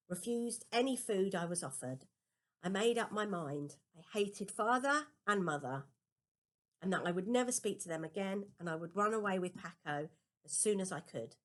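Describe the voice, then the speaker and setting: A woman reading a children's novel aloud as a first-person storybook narration. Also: on the low side. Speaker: storybook reader.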